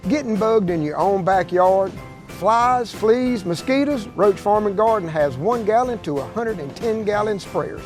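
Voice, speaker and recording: High-voiced man reading a local ad. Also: lively intonation, a little slow, a little mellow, soft background music. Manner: folksy, listing products. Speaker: radio-style ad announcer. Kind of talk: scripted local ad read.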